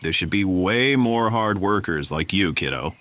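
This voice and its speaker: Man playing a deep, rumbling, chipper businessman. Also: animated, measured pace, fairly bright tone. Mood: chipper, familiar. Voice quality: low, rumbling. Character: tall, chipper, overfamiliar businessman in his forties.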